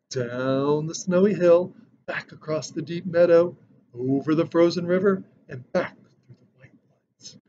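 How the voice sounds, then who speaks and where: A man in his thirties reading a children's picture book aloud with expressive, dramatic storytelling. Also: a little high. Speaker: storybook reader. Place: indoor decorated room. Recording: dull lo-fi sound with background noise.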